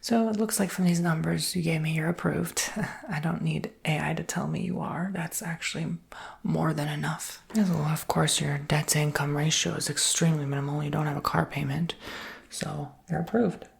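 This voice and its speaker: Whispering low, creaky-voiced woman doing ASMR. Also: steady tone, normal speed. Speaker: ASMR roleplayer playing a robot saleswoman.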